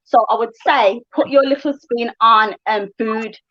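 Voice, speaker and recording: A woman's voice: on the high side, unhurried. Chatty woman on a muffled call. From England. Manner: chatty, frequent fillers and asides. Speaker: guest. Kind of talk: casual livestream interview.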